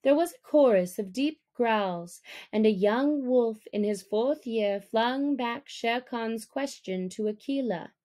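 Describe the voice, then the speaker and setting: Slow-paced woman reading a storybook. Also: fairly high pitch. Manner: measured, expressive storytelling. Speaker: audiobook reader. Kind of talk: scripted storybook narration.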